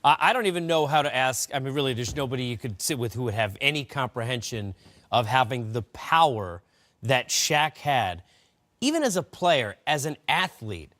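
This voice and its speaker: A man's voice: medium pitch, lively intonation, normal speed, fairly bright tone. A man hosting a casual studio sports discussion, putting questions to his guests. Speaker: sports show host.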